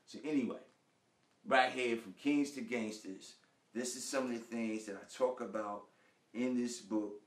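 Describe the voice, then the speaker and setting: A man's voice: medium pitch, steady tone, measured pace, far from the mic. Laid-back man in an echoey room. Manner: street slang, loose and conversational, addresses viewers as family. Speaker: author and host. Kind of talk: casual monologue book review. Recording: echoey room, voice away from the mic.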